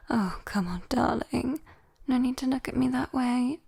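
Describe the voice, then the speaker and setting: Woman acting as a sweet possessive demon girl, British accent, very breathy whisper, slow, teasing, coaxing, ASMR roleplay. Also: a little high, darkish tone, full character voice. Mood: playful teasing. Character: sweet, teasing, possessive young female demon comforting a human.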